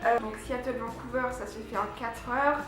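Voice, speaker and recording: A woman's voice: a little high, steady tone, normal speed, fairly bright tone. A young woman from France, chatting casually to her viewers about a year abroad, with lots of fillers and restarts. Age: young adult. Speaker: vlogger. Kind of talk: casual vlog recap. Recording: very echoey room with faint music.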